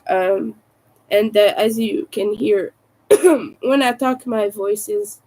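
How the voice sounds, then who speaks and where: A woman with a raspy, soft-spoken voice, sick and low on energy, chatting casually and hesitantly on a livestream. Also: fairly even intonation, takes it slowly, rough recording. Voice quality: raspy from vocal cord damage. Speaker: livestream host, crafter.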